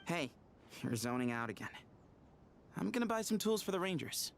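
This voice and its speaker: A man's voice: on the high side, sing-song, normal speed, vocal fry, a little hiss or hum. A man voicing a breezy, teasing young male ranger mentor in a video game, with a casual, playful manner. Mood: easygoing, chiding.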